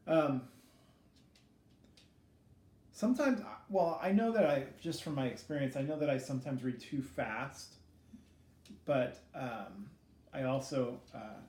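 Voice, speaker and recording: A middle-aged man giving casual, reflective interview answers in a hesitant, halting way, as a student teacher being interviewed. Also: medium pitch, darkish tone. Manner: hesitant, halting, self-critical. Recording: echoey room with faint background noise.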